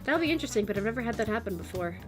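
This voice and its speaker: A chatty American woman streaming a game, her voice strained and worn out from overuse. She sits a little high for a woman and rambles along with plenty of fillers, with clear game music playing behind her. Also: lively intonation, racing. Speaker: vtuber streamer. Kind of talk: casual chat while gaming.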